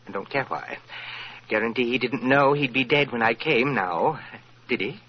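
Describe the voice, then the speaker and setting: A man's voice: medium pitch, normal speed, slightly rough, close to an everyday voice. An actor playing a fussy, cranky, blunt male lawyer in 1940s radio style, hesitating and repeating himself. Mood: brusque. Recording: muffled, noisy old radio recording.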